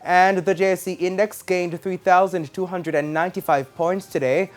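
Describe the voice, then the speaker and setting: A man's voice: sits high, steady tone, moderate pace, soft background music. A man anchoring a scripted business news bulletin in a formal broadcast style. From Jamaica.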